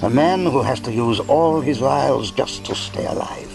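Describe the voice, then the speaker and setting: An actor playing a megalomaniac villain lord in a radio play, cold, grandiose and self-pitying, with measured speech and a gravelly voice. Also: male voice, mid-range voice, close to an everyday voice. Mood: bitter, grandiose. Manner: pompous, measured. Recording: music behind the voice.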